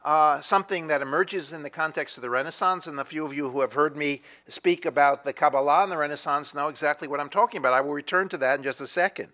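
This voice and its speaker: A middle-aged man lecturing on history, his voice sitting a little high for a man. He rambles, restarts his sentences and fills the gaps with uh and you know. Now and then he turns to ask the audience a question. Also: quick pace, hardly any pauses, bright, forceful tone. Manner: discursive, many fillers and restarts, asks the audience questions. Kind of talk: university lecture. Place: lecture hall. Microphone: moves away from the microphone at times.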